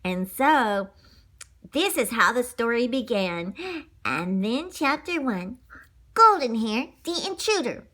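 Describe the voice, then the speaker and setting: A woman with a high, bright voice reads a children's story aloud. Her delivery is very lively and dramatic, dotted with spoken sound effects. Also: slow pace. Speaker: storybook reader.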